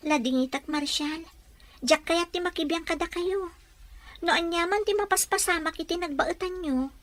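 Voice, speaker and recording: Young female confidante, very high voice, concerned and careful, Ilocano accent, radio drama woman performer. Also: moderate pace, a bit bright. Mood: guarded. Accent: Ilocano Filipino.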